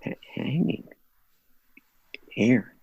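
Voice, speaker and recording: A man playing a shock-struck, vacant man in a 1940s-style radio drama, with a husky, creaky voice that stammers and trails off. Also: drawn-out words, dry recording, muffled recording. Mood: dazed. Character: dazed, shock-struck man, mind gone blank.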